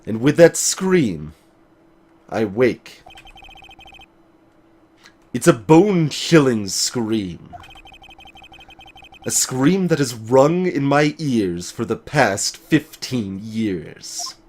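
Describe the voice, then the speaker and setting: A man voicing a haunted, solemn game character confessing a dark memory, grave, halting and dramatic. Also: mid-range voice, very animated, heavily acted. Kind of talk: dramatic voiced reading of game dialogue.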